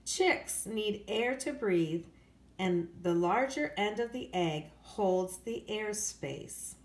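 A woman's voice: medium pitch, expressive, slow. A woman, an elementary school teacher, reading a children's nonfiction book aloud with careful phrasing for young listeners. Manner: careful, clearly phrased read-aloud delivery with emphasis for children. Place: indoors at home, hard-surfaced kitchen. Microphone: handheld phone. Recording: echoey room, handheld home recording.

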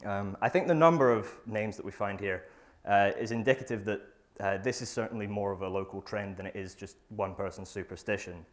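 A young man gives a research talk to an audience in a lecture theatre, speaking into a lapel mic. His voice sits a little low, and he reads from his notes, halting often on fillers and restarting phrases. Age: young adult. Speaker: student presenter. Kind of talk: academic conference talk.

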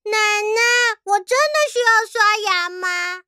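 Give me a very high-pitched baby shark. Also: female voice, slow pace, bright, forceful tone, dry recording, studio quality, exaggerated voice. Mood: curious. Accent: Taiwanese Mandarin. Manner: childlike, wide-eyed, giggly. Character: bubbly, curious little baby shark. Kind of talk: children's cartoon dubbing. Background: children's music.

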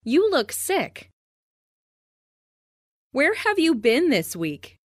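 A woman's voice: high voice, wildly swooping pitch, drawn-out words, no room sound. Lady doing language drill sentences, really varied intonation, super dry close sound. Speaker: language lesson narrator. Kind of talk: scripted reading of English practice phrases.

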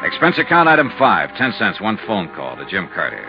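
A man's voice: lowish voice, huge pitch swings, a little fast, over music. An actor playing a hard-boiled investigator narrating his case in terse, matter-of-fact 1950s radio style. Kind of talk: first-person 1950s radio detective narration. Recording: dull, noisy 1950s radio recording.

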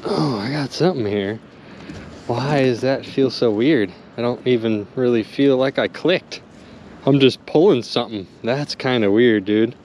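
A young man vlogging from a small boat on a river, exclaiming excitedly as he talks to his viewers. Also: medium pitch, a little slow, a little hiss or hum. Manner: animated, exclaiming, chatty with viewers. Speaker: magnet fishing vlogger. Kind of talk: excited casual narration to viewers.

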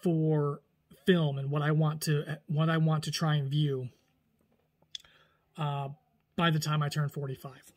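A man's voice: fairly high pitch, unhurried, long pauses. A man in his forties chatting casually to camera at home, rambling with frequent restarts and self-corrections. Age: 40s. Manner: rambling, animated, lots of restarts and hand gestures. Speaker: vlogger. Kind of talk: casual talking-head vlog. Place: small room indoors at home.

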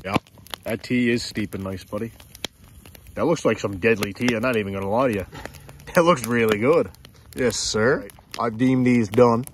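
A young man with a thick Newfoundland accent talks eagerly to his viewers while cooking and fishing outdoors. His voice sits at an ordinary pitch and is picked up close on a handheld camera, with a faint hiss of open air behind it. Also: normal speed. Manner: enthusiastic, chatty, addresses viewers as guys. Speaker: outdoor vlogger. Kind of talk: casual outdoor vlog chat. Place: outdoors by a river in snow. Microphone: phone or action camera held close.

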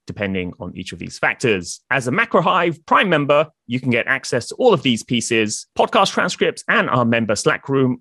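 A man's voice: mid-range voice, dry recording, studio quality. A man hosting a finance podcast, reading a promotional intro and then opening an interview. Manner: lively, promotional delivery. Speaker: podcast host. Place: indoor room, plain wall. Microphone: video call.

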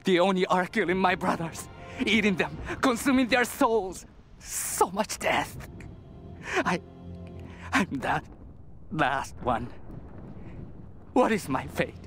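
Haunted soldier, slow and halting. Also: male voice, high-pitched, animated, fairly bright tone, faint music behind, exaggerated voice. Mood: despairing, pleading. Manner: halting, haunted, anguished delivery. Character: terrified, doomed Japanese soldier haunted by evil spirits. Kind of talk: dramatic video game diary narration.

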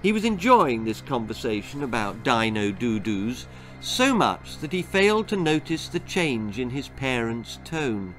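An older man reading a children's horror story aloud in a deliberate, theatrical way. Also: medium pitch, big pitch swings, fairly bright tone, faint music behind. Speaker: author reading his own children's story. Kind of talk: dramatic storytelling, scripted narration. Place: small book-lined room indoors.

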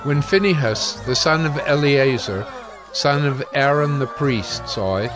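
A man's voice: medium pitch, expressive, unhurried, over music. A man giving scripted narration as the narrator of a dramatized scripture reading.

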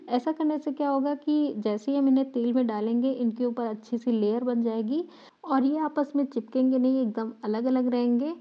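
A woman's voice: on the high side, highs cut off. A woman walking through a recipe step by step in a voice-over, patiently explaining each step and repeating key points. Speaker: cooking channel host.

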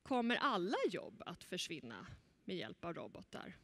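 Lively woman presenting on a big stage. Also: lowish voice. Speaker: event moderator. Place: large conference stage. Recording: echoey hall.

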